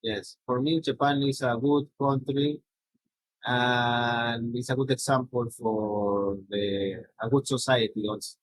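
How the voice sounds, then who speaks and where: A middle-aged man from Peru, a native Spanish speaker with a Peruvian accent, giving a slide presentation in a halting way with many restarts and "no?" tags. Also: mid-range voice, fairly even intonation, no echo, low-quality recording.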